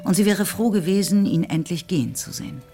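A man narrates a radio drama in a measured storytelling voice, a little high for a man. Clear music plays under him. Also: expressive.

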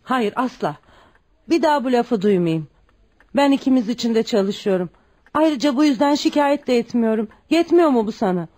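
A self-sacrificing widowed mother in a radio play. Her voice sits fairly high and is soft-edged and mellow, and she pleads with tender, emphatic devotion. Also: lively intonation, moderate pace. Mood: firm, tender. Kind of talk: scripted radio drama acting.

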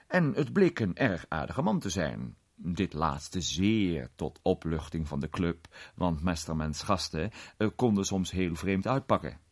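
A man's voice: low voice, darkish tone, husky, heavily acted. An actor playing an urbane male club member who narrates a radio play with dry humour.

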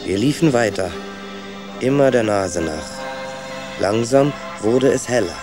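A man's voice: mid-range voice, animated, a little slow, over music, lightly acted. A man playing a rat who narrates his youthful journey in a scripted children's radio play. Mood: atmospheric.

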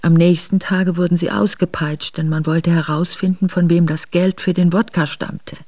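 An actress playing an earnest, reflective exiled noblewoman, narrating her memoir in a measured way for a radio drama. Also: on the low side, steady tone, warm tone, no echo, clean studio sound, close to an everyday voice. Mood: grave, reflective. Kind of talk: literary first-person radio drama narration.

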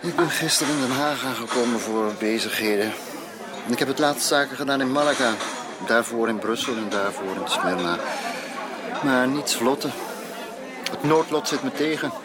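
Actor as courteous, restless gentleman, loud crowd behind. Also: mid-range voice, close to an everyday voice. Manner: formal, polished, gallant. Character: well-travelled, restless, courteous gentleman cousin, weary of misfortune. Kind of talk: Dutch radio period drama. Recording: loud crowd chatter of theatre foyer.

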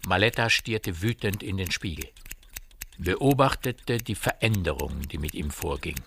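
A man's voice: deep voice, animated, measured pace, fairly bright tone, a little hiss or hum. A man narrating a literary radio play as a scripted storyteller.

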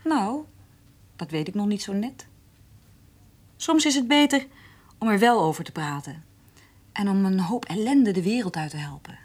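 An actress playing a curious, persistent woman who gently pries, in a Dutch radio play. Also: medium pitch, expressive, moderate pace, pauses often, breathy edge. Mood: prying.

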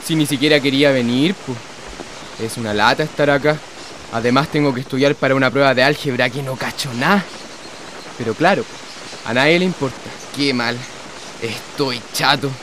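A man's voice: medium pitch, moderate pace, fairly bright tone, slight background noise, close to an everyday voice. A young man playing a sulky, bored teenage son with a Chilean accent, grumbling in slang. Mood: self-pitying, fed up.

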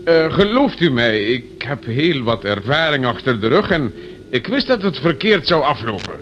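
An actor playing a paternal, officious police inspector who repeats himself, in a noisy old radio play recording. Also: male voice, medium pitch, sing-song, normal speed, fairly bright tone, dull audio. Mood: paternal, warning.

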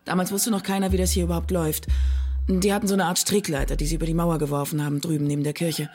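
A woman's voice: fairly low pitch, flat delivery, fast, darkish tone, slightly rough, faint music behind. A woman playing a brusque, sarcastic resident of a walled commune, colloquial and challenging, in a naturalistic radio drama. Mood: grave, intense.

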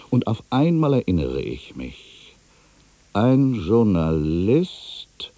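Older male embittered ageing writer for radio drama: low, husky, widely swinging delivery, musing and theatrical. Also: dark tone, no room sound, heavily acted. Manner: theatrical, musing, with rhetorical questions and pauses. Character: ageing male writer, brooding, bitter, addressing his past. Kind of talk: literary radio drama monologue.

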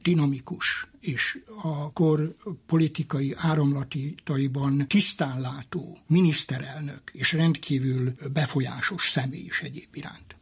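A man explaining history as an expert interview guest, in deliberate, formal academic phrasing. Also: a little high, creaky, slightly rough.

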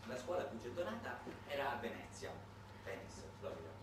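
A man performing a satirical theatrical monologue with ironic, halting, dramatic delivery. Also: medium pitch, sing-song, far from the mic, noisy background. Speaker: monologue actor and narrator. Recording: very echoey room, recorded from afar.

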